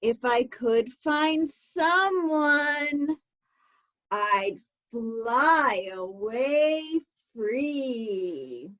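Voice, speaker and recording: Woman acting a bored lady bird, storybook character, whining, weary, high voice, words stretched very slowly. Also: expressive, a bit bright, full character voice. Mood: bored complaining. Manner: complaining, theatrical, stretching out words. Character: lazy, bored, whiny female bird, weary of sitting on her egg. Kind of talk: children's storybook read-aloud.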